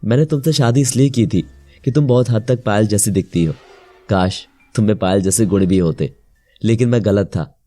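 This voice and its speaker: An emotional young widowed father played by a man in an animated moral tale. He speaks earnestly in a low, dark voice with light music underneath. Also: moderate pace, natural voice. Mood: angry, regretful. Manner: earnest, melodramatic. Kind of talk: melodramatic animated moral story dialogue.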